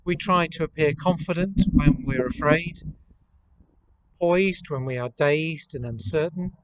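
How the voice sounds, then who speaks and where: Priest preaching outdoors, slightly high voice. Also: male voice, a little slow, poor audio. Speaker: priest leading online worship. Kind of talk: reflective sermon to camera. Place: outdoors in a rural churchyard. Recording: outdoor camera recording with ambient noise.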